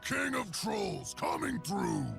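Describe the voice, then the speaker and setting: Video game troll monarch, high-pitched, slow, gravelly, boastful, gleeful, swaggering. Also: male voice, expressive, full character voice. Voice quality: gravelly, creaky. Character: boastful, gleeful male troll king, brash and warlike. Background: music.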